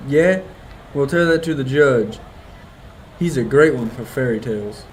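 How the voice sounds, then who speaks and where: A man's voice: mid-range voice, expressive, a little slow, music in the background, natural voice, poor audio. An actor playing a sceptical street policeman in a 1940s-style radio play, dismissive and sarcastic. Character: sceptical, gruff-talking male street policeman.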